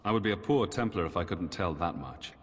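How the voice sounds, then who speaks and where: A man voicing a weary, dour Templar knight commander with formal, measured speech in a fantasy video game. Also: deep voice, animated. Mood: grave, wary. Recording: long echoing hall reverb.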